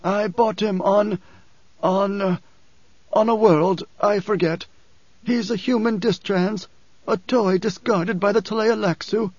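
Audiobook role: frail old male warrior done by a man, raised pitch, gasping wheeze, urgent and halting, slightly dull sound. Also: steady tone, darkish tone, no room sound, full character voice. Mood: halting, wheezing.

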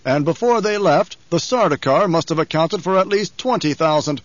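A man voicing a fat, cruel baron who talks loudly and blusters. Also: on the high side, normal speed, no echo, pitched above the performer's own voice, lightly acted. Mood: blustering. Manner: loud, blustering, barking. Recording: dull, dry recording.

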